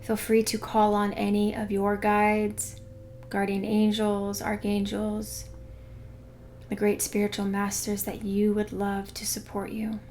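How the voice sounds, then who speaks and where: Slow, even-voiced woman guiding meditation. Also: mid-range voice, a little hiss or hum. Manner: soothing, unhurried, hushed in places. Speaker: meditation guide. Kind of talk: guided meditation narration. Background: background music.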